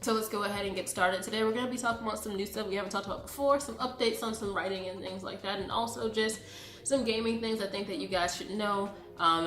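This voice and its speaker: A woman's voice: mid-range voice, fairly bright tone. A woman in her late twenties with a congested voice from a cold, chatting casually and familiarly to her viewers as a vlogger. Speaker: YouTube vlogger. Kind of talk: casual direct-to-viewer channel update. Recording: roomy echo, background music. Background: music.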